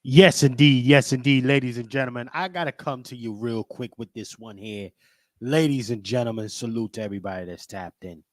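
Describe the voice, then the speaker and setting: A man's voice: mid-range voice, brisk, no room sound, clean studio sound. A man hosting a podcast livestream in a casual, emphatic way, repeating his greetings and catchphrases. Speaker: podcast host.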